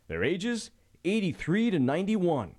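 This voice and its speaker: A man's voice: high-pitched, huge pitch swings, measured pace, a little mellow. A man narrating a scripted television news feature as the reporter, with a playful, lilting delivery.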